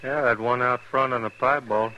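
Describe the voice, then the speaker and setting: An actor playing a fatalistic, plain-spoken frontier scout held prisoner in a 1950s radio western. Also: male voice, mid-range voice, steady tone, normal speed, pushed and bright, lo-fi sound, close to an everyday voice. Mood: resigned, calm. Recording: noisy vintage radio drama recording.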